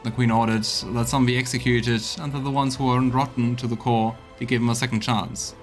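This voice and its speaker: A man's voice: medium pitch, level tone, a little fast, faint music behind, natural voice. A man voicing a frank, practical crusader scout in a fantasy game, speaking in a hearty, plain-spoken way. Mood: explaining. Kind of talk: voiced reading of fantasy game dialogue.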